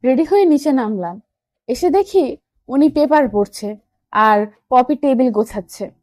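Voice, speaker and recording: A young woman reads a romantic story in a high voice. The recording is dry and close, and she tells it in the first person as an audio story narrator. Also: lively intonation, unhurried, a little mellow, no room sound.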